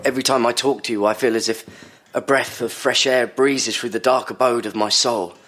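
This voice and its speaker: A man playing a troubled, grateful psychoanalysis patient in an audio drama, husky, earnest and florid. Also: medium pitch, steady tone, a little fast, fairly bright tone, natural voice.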